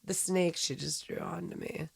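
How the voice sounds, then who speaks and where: A woman's voice: lowish voice, moderate pace, gravelly, slightly rough. A woman chatting casually and playfully as a podcast host, with a candid manner.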